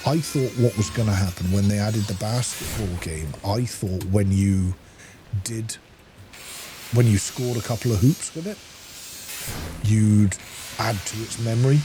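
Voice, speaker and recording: A man giving casual playthrough commentary as a gaming host, thinking aloud in an unhurried way as he plays. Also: lowish voice, background noise.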